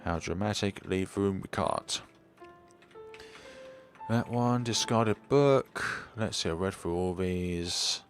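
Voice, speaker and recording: A man with a naturally deep voice, live-streaming a game in casual chat and muttering to himself as he plays. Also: slow pace. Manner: relaxed, muttering to himself while playing. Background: faint game music.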